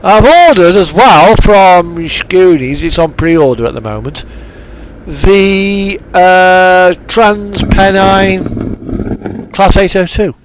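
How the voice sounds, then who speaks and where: A man's voice: high voice, takes it slowly, background noise. A man chatting casually as he streams a driving game, rambling and trailing off mid-thought. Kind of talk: casual chat while playing a truck driving game.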